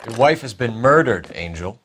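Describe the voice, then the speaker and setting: Serious young cop, American, clipped and direct, mid pitch, lively intonation, crime game. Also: male voice, moderate pace, slight background noise. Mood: stern. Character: earnest, by-the-book young male police detective. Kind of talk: video game acting, 1940s crime drama.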